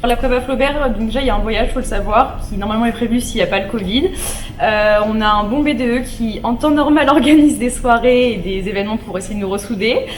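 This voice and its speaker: Young female student, clear explaining tone, higher voice. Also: fast talker, low-quality recording. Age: young adult. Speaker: prep school student. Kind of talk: interview answers.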